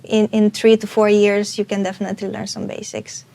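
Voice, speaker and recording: A woman's voice: medium pitch, monotone, brisk, gravelly, mellow. A young woman from Romania, an international student guest, talking earnestly in a casual panel chat with many restarts. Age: young adult.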